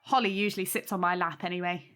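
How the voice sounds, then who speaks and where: An actress playing a modest young aunt hosting in an audio drama, polite, gentle and eager to please. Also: female voice, fairly low pitch, fairly even intonation, fast talker, faint music behind, close to an everyday voice. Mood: welcoming.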